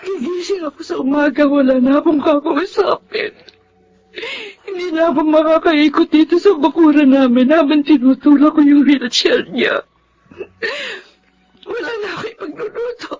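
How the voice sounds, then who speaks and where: An actress playing a lonely, grieving daughter in a radio drama, tearful, halting and pleading. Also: female voice, sits high, a little mellow, natural voice. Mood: sobbing, despairing. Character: grieving lonely daughter who cared for her sick mother. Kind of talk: Tagalog radio drama acting. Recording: dull lo-fi radio drama sound.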